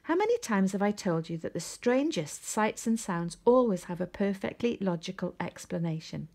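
A woman reads as a father penguin in a children's picture book. He is cheerful and firm, always reassuring. The tone is hearty with a husky edge. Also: medium pitch, animated, moderate pace, a little mellow.

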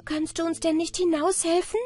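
A woman voicing a brave young girl in a fairy tale, calling out loudly and drawn out. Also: very high-pitched, little pitch movement, moderate pace, pitched above the performer's own voice. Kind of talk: storybook reading.

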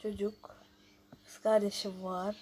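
A woman's voice: medium pitch, little pitch movement, takes it slowly, dark tone, slightly rough, low-quality recording. A woman hosting a casual gameplay video, chatting and thinking aloud as she reads out questions and answers them. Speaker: YouTube host.

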